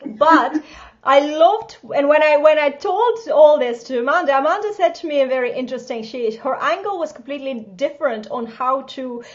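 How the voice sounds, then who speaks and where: A woman with a Russian accent hosts a casual online chat, her voice sitting high. She talks quickly and energetically, stopping and restarting her sentences. The sound is dull and lo-fi, like an online call. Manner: fast, lively, with restarts and laughs. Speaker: host.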